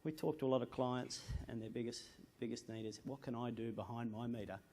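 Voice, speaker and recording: A man speaks on an industry panel in an even, level tone with a gravelly edge. His voice is dark and mellow, and faint noise sits behind him. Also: medium pitch, fairly quick. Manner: steady, explanatory. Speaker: panelist, energy industry adviser. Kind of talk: panel answer. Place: conference room.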